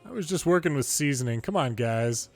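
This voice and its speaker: A man reading story dialogue aloud from a video game in a playful way, switching pitch between characters and adding his own commentary. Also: expressive, a little fast. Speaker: longplay host reading a game's dialogue aloud. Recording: music behind the voice. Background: game music.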